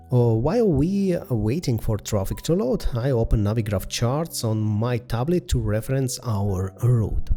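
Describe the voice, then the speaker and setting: Hesitant man narrating a flight simulator, mellow tone. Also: medium pitch. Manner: hesitant, methodical step-by-step delivery. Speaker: flight simulator video host. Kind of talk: step-by-step tutorial commentary on a flight simulator.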